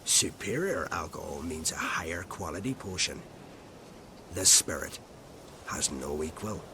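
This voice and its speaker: A crotchety old druid from a fantasy game, low in pitch and full of testy condescension. His phrases trail into breathy whispers, and there is clear noise behind him. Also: lively intonation, measured pace. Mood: haughty. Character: crotchety, haughty old male druid and alchemist. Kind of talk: scripted video game acting.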